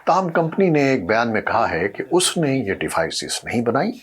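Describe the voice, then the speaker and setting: A man presenting scripted news narration in a formal, measured newsreader manner. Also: medium pitch, sing-song, slightly rough.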